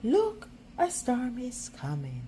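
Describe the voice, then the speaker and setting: A woman's voice: on the high side, huge pitch swings, takes it slowly, dark tone, slight background noise, voice pushed up, heavily acted. A woman voicing an outspoken, anxious, determined schoolgirl from a children's storybook, urgent and insistent. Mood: worried, pleading.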